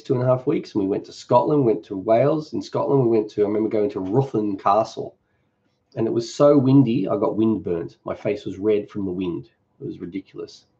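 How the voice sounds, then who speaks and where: A middle-aged Australian man with a low, warm voice chats his way through a live-stream Q&A. He is relaxed and joking, and he rambles a little. The sound is muffled and lo-fi. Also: creaky. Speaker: cooking channel host.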